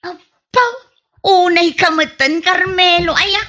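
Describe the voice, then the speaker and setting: A woman's voice: extremely high pitch, steady tone, slow, bright, forceful tone, full character voice. A woman playing a gossip-loving, defiant village wife in a comedy radio drama, with exaggerated whiny retorts, on muffled audio.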